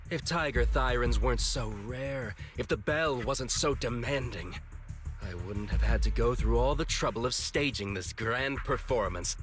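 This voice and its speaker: A zealous cult villain from a video game, played by a man, speaks at a medium pitch as if delivering a sermon. His manner is grandiose and theatrical. Loud music plays behind him. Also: lightly acted. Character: zealous male cult villain preaching divine purification.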